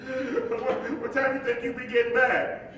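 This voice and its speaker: A man acting a playful, devoted young husband in a staged play reading, with a halting, storytelling delivery. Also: extremely high pitch, little pitch movement. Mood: playful, protesting. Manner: halting, repeats words, chuckling delivery. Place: large echoey room, live staged reading. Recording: very echoey live hall, distant mic, faint noise.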